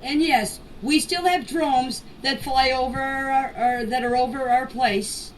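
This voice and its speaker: A mature woman giving an informal spoken statement in a deliberate, halting way, in short phrases, as a voice message. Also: high-pitched. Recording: distant noisy amateur voice clips.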